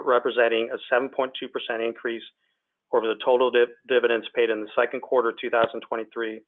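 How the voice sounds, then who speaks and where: A man delivering a scripted, formal financial presentation as a company executive. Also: mid-range voice. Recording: tinny narrow phone line.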